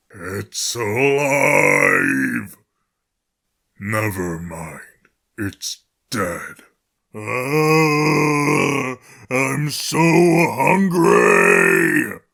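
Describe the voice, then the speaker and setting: A man voicing a creepy, greedy, ravenous Halloween pumpkin monster for a video game, with a growly gravelly voice. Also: lowish voice, pushed and bright, exaggerated voice. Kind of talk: video game character voice lines.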